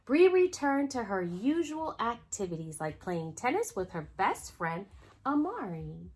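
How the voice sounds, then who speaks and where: A woman's voice: mid-range voice, very animated, a little slow, darkish tone, breathy edge. A woman hosting a children's storytime, reading a picture book aloud expressively and speaking directly to young listeners. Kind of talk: storytime read-aloud with asides to young viewers.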